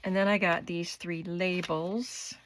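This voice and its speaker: An older woman with a cold and a hoarse voice, chatting unhurriedly as she shows her craft supplies in her home studio. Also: on the low side, fairly even intonation, noisy background. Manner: chatty, unhurried, describing items up close. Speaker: craft vlogger. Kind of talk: casual show-and-tell haul.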